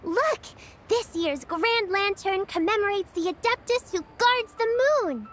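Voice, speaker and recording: A woman's voice: extremely high pitch, expressive, a little slow, fairly bright tone, a little hiss or hum, exaggerated voice. A woman voicing a cheerful, caring young girl in a video game, patiently explaining things in a singsong, reassuring way. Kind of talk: video game character dialogue.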